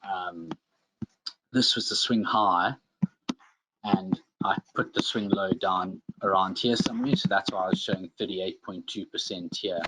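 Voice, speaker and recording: Low-voiced South African man presenting. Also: heavy background noise. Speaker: webinar presenter, trading instructor. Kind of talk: informal live tutorial.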